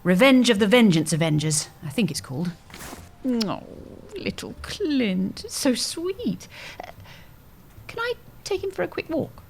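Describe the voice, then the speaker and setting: A scatterbrained woman customer in an audio comedy played by an actress. Her high voice swoops animatedly as she coos and gushes, with faint ambient noise behind. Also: very animated, a little slow. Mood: besotted. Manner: gushing, babyish cooing. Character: dotty customer, scatterbrained, cooing woman besotted with a tortoise. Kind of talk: scripted audio comedy drama.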